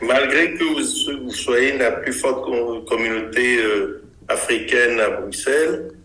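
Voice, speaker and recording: A man hosting an interview, asking measured, probing questions. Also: mid-range voice, sharp tone, reverberant, slight background noise, poor audio. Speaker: interviewer host.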